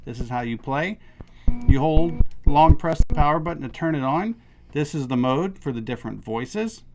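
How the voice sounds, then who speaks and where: A man's voice: fairly high pitch, lively intonation, moderate pace, over music, low-quality recording. A man in his thirties, casually demonstrating a product and explaining it step by step in an upbeat way. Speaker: product reviewer.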